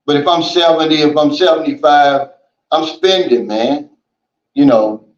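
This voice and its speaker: A man hosting a casual livestream, reading out viewer comments and chatting in a relaxed, folksy way, often saying "man" and "you know". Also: a little high, measured pace. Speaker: livestream host. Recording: roomy and dull, lo-fi.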